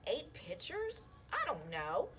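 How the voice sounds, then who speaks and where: A woman's voice: a little high, huge pitch swings, takes it slowly, a bit bright, exaggerated voice. Woman putting on a whiny, lazy young boy, swooping. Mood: indifferent.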